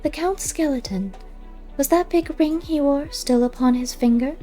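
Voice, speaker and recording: A woman's voice: high voice, normal speed, warm tone, soft background music, voice pushed up, lightly acted. A woman voicing a wry, curious female cat in a storybook reading, dryly questioning and skeptical. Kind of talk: audiobook character voice.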